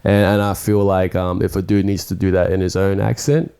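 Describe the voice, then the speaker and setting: Young aussie-rapper guy, really low voice, dark and smooth, kinda rambly. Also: level tone, fairly quick. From New Zealand. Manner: reflective, rambling with many fillers. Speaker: rapper guest. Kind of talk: casual podcast chat.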